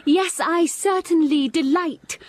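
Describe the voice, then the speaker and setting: An actress playing a devoted young governess in a radio drama, speaking softly and earnestly, often near a whisper. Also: female voice, very high voice, takes it slowly. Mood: ardent. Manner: soft, hushed, earnest.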